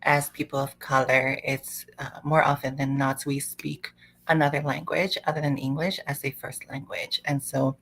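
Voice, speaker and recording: Woman on a panel, low flat voice with lots of fry, rambles thoughtfully. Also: moderate pace, poor audio. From Samoa. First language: Samoan. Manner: thoughtful, rambling, many "like" fillers. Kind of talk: panel discussion.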